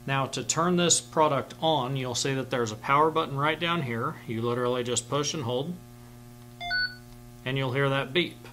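Male instructor, middle-aged, medium pitch, plain-spoken and informal walk-through, faint hum behind the voice. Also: moderate pace, a bit bright, poor audio. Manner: plain-spoken, step-by-step explaining. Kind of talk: informal instructional demonstration to camera. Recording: clear background hum and noise.

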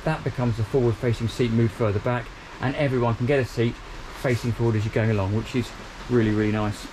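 A man's voice: medium pitch, fairly even intonation, fast, background noise. A man, giving an upbeat walkthrough tour of a small motor boat cabin, filmed on a handheld camera. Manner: chatty, upbeat, pointing out features as he moves. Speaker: boat reviewer.

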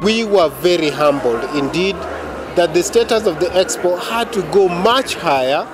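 A man's voice: high-pitched, lively intonation, normal speed, over loud music. A man giving formal interview remarks at an event. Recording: busy crowd noise behind.

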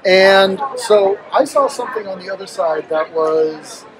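A man interviewing on a trade show floor, asking upbeat, drawn-out questions with filler uhs into a handheld mic. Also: sits high, very noisy. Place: busy indoor convention hall.

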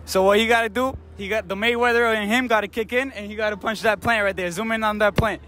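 A man's voice: high voice, steady tone, fast talker, bright, forceful tone, faint music behind. A young man behind the camera setting dares, bossy and laughing, outdoors at night in a city square.

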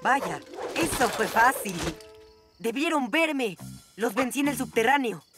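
A woman's voice: fairly high pitch, expressive, a little slow, over loud music. A woman voicing a cocky young boy superhero who talks boastfully, in a cartoon, with a Latin American Spanish accent. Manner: boastful, declamatory. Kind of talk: animated cartoon dub. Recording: loud cartoon score behind voice.

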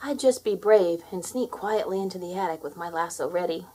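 A woman reading a children's story aloud in a dramatic, expressive storytelling manner. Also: fairly low pitch, brisk, breathy edge, poor audio. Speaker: storybook reader.

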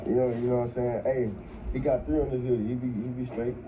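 A man's voice: mid-range voice, level tone, fast talker, dull audio. A man hosting a casual group vlog, running a game with friends and teasing them in loose banter. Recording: noisy played-back video audio.

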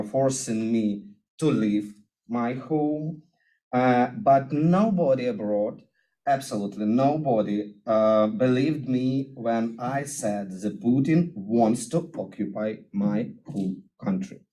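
A middle-aged man from Ukraine with a Ukrainian accent, speaking English as a second language at a podium, halting and searching for words with many fillers. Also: mid-range voice, warm tone, low-quality recording. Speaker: guest speaker. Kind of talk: speech at a podium. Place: lecture room with audience. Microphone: podium microphone.

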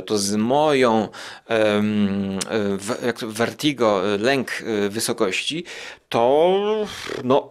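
A man hosting a podcast in a casual, digressive monologue, musing aloud with hesitant pauses and tag questions. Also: mid-range voice. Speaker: podcast host.

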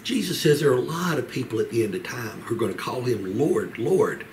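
A man, teaching the Bible as a pastor in a measured, earnest way with frequent pauses, seated in a small room a little way from the mic. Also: mid-range voice, animated, a little mellow. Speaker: pastor leading a Bible study. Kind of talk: Bible teaching, expository sermon. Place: small home office, indoors. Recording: clear background room noise.

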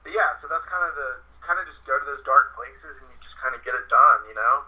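A man's voice: mid-range voice, fast talker. A man from North Carolina, a guest actor in a casual interview, rambling with lots of fillers and restarts. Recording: tinny phone line.

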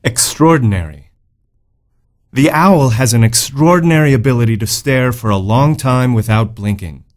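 A man's voice: medium pitch, moderate pace. A man reading scripted educational narration as a vocabulary narrator.

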